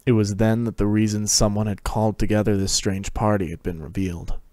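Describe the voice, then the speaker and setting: Guy narrating fan fiction, lowish voice, really dry close sound. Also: little pitch movement, fairly quick, mellow, dry recording. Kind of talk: dramatic reading of fan fiction.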